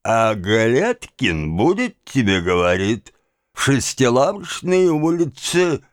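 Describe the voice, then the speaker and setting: A man's voice: medium pitch, very animated, takes it slowly, no echo, studio quality. A man voicing a tipsy, insolent manservant in an audiobook, drawling and repeating himself in an obstinate, evasive way. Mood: sullen, rambling. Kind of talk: dramatised audiobook of a classic novel.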